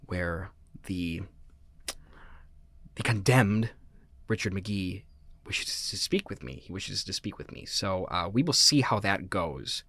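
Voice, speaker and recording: A man acting a suspicious, melodramatic son who styles himself a villain in a comedic audio drama, with grandiose, halting phrasing. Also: deep voice, a bit bright, no room sound, exaggerated voice. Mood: conspiratorial, ominous.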